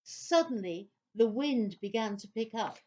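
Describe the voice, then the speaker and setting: A woman narrating a scripted children's story in an expressive, dramatic way. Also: fairly high pitch, measured pace, highs cut off.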